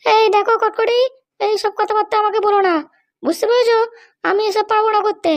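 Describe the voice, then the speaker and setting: A performer voicing a scheming, haughty woman politician in a cartoon comedy, bossy, scolding and fond of sharp questions. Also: very high-pitched, steady tone, fast talker, dry recording. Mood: annoyed. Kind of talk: amateur cartoon comedy dialogue.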